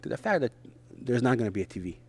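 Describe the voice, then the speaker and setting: Man, from Israel, Hebrew native speaker, middle-aged, interview guest, halting speech, mid-range voice. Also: medium pitch, big pitch swings, slightly rough. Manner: halting, short phrases, frequent restarts. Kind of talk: conversational interview.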